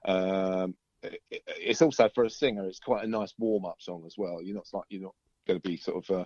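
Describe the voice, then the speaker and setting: A man's voice: deep voice, fairly even intonation, a little fast, a little mellow, no room sound, highs cut off. A man chatting casually in an interview as a band's singer, rambling and repeating himself as he goes. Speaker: band singer and songwriter being interviewed.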